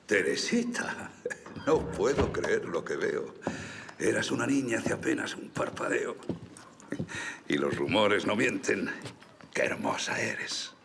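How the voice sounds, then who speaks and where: An actor playing a prim, easily scandalised older gentleman in an audio drama, fussy and expressive in manner. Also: fairly low pitch, slow pace, vocal fry, long pauses, noisy background, heavily acted. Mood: affectionate.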